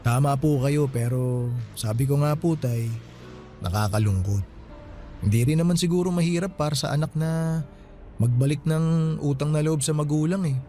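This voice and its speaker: Man acting a polite, mellow-voiced younger man. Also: a little high, moderate pace, pauses often, soft background music, natural voice. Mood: mildly indignant. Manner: polite, earnest. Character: respectful younger man, sympathetic, mildly indignant friend. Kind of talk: radio drama dialogue.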